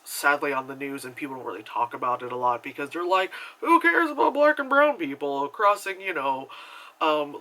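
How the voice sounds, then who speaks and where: A young man giving a casual, rambling movie review, full of fillers and animated asides. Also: rough recording. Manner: chatty and animated, many fillers, jumps into high acted reactions.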